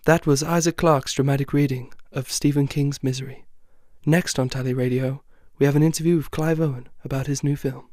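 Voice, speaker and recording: A man's voice: medium pitch, steady tone, a little fast, darkish tone, no room sound. A man presenting on radio, announcing programmes as a continuity host, close on the microphone.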